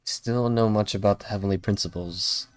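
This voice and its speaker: A woman's voice: extremely low pitch, fairly even intonation, brisk, darkish tone, no room sound. A woman giving casual, offhand game livestream commentary with wry, playful reactions. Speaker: streamer. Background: game music.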